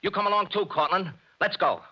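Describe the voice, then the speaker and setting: An actor playing a cocky, wisecracking male private detective in a 1940s radio drama, talking brisk and commanding. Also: sits high, music in the background, lightly acted. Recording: muffled 1940s radio broadcast.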